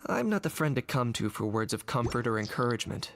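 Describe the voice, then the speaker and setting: A woman playing a calm, blunt young video game character who speaks in a measured, matter-of-fact way. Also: extremely low pitch, exaggerated voice. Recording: game audio with background music.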